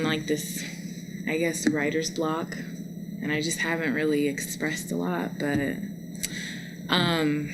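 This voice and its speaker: A young woman with a distinctly low-pitched voice talks casually to an audience. Her manner is informal, halting and giggly. Loud noise runs through the live recording. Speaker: student poetry reader. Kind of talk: casual, unscripted chat to an audience. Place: live college lecture event. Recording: very noisy live event recording.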